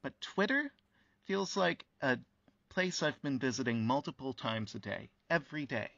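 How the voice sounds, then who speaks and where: A man's voice: mid-range voice, lively intonation, moderate pace, vocal fry, fairly bright tone, no room sound. A man playing a thoughtful, affable interviewer who chats reflectively in a casual radio play. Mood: musing, amused. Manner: reflective, conversational, agreeable. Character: thoughtful, affable male interviewer of talking buildings. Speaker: interview host. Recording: dull, dry lo-fi audio.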